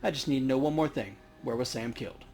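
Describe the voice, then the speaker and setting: A man's voice: medium pitch, fast talker, a little mellow, soft background music, close to an everyday voice. A man voicing a world-weary, matter-of-fact male coroner from a cyberpunk game. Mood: dry, reflective.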